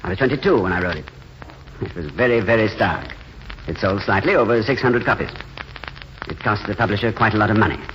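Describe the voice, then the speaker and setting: An actor playing a gentle, world-weary failed writer in a 1940s radio drama, courteous, hesitant and self-mocking. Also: male voice, fairly low pitch, fast, pauses often, natural voice. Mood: wry, self-mocking. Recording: muffled, noisy 1940s radio broadcast.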